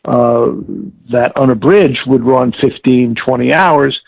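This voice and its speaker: Man on a tinny phone line, gravelly. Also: mid-range voice, no echo. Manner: halting, emphatic, repeats words for stress. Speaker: interview guest, audiobook expert. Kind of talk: reflective interview conversation.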